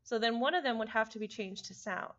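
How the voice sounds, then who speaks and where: A woman streamer reading a visual novel aloud, mixing expressive narration with casual asides. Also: a little high, brisk, lo-fi sound.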